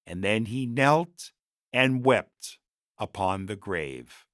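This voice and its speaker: A man reading a story as a narrator, in a measured and expressive scripted style. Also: fairly low pitch, no echo, clean studio sound.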